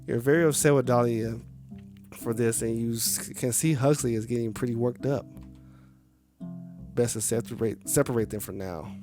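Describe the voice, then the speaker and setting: A man reading a game's story aloud on a live stream, with casual, chatty asides to viewers. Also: medium pitch. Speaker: game streamer.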